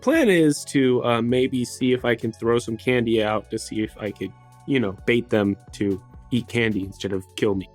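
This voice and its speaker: A man's voice: medium pitch, normal speed. A man chatting casually as a player in a tabletop roleplaying game. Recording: music playing behind the voice. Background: music.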